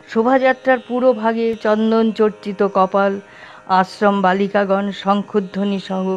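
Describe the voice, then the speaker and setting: Female documentary narrator, medium pitch, formal and measured, muffled recording, faint Indian classical music. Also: a little mellow. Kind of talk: scripted narration in formal literary prose. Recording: dull, lo-fi, music behind.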